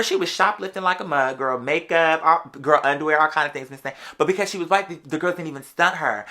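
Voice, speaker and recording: A young adult American vlogger tells casual stories in a mid-pitched, bright voice. The delivery is quick and conversational, full of sassy slang aimed straight at the viewers.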